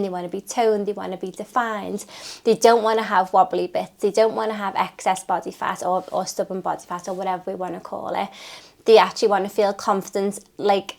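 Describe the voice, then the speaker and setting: A British woman in her thirties talks straight to the camera in a loose, rambling way, stringing together lists as she goes. Her voice sits at an ordinary middle pitch, and she sounds sniffly and a little chesty from a cold. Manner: chatty, rambling, listing things off. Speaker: nutritionist and personal trainer. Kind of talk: unscripted chat to camera. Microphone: talking to camera.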